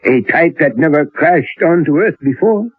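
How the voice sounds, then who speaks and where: Musing old professor, rather high husky voice, deliberate theatrical delivery, vintage radio drama. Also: male voice, animated. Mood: grave, confiding. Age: older. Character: elderly learned male professor, musing and secretive. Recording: old radio recording, thin narrow band, roomy.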